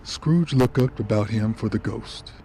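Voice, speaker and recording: A man playing the solemn narrator of a radio play, telling the story in measured phrases. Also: fairly low pitch, creaky, mellow. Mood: ominous. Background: music.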